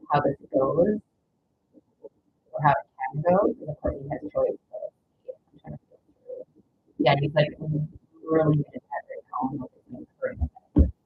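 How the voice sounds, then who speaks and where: A woman's voice: deep voice, phone-quality sound, background noise. A woman doing a live tarot reading in casual chat, rambling with many fillers and giggles. Her nose is stuffy. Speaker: tarot reader.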